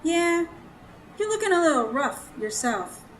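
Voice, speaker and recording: A woman's voice: very high voice, big pitch swings, a little slow, a little hiss or hum, full character voice, rough recording. A woman acting in a radio drama as a kindly, plainspoken boarding-house keeper with a folksy, sympathetic manner.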